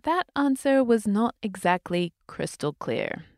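A woman's voice: mid-range voice, very animated, a little slow, no echo, clean studio sound. British woman narrating a podcast. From Britain. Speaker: podcast host. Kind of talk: scripted narration.

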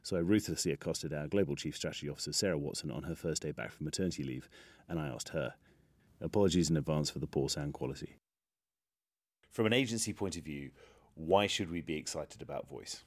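A man's voice: rumbling low, brisk. A man hosting a podcast, putting interview questions and narrating links between guests.

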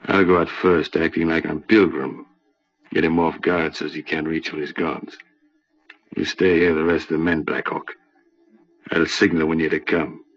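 An actor playing a menacing outlaw gang leader in an old radio western, with gruff, bossy frontier slang and an American West accent. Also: male voice, a bass voice, little pitch movement, normal speed. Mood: scheming. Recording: dull, lo-fi old radio recording.

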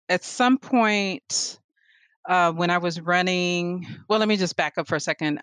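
A woman's voice: on the low side, clean tone, no room sound. A woman in her forties, a guest artist telling stories in a casual interview, laughing often. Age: 40s. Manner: animated storyteller, laughs often.

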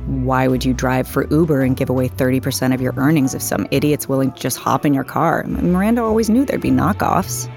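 A woman's voice: extremely low pitch, creaky, soft background music. An actress playing a dry, sardonic, flirtatious woman in a naturalistic audio drama. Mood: wry, dry. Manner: deadpan, sardonic, unhurried.

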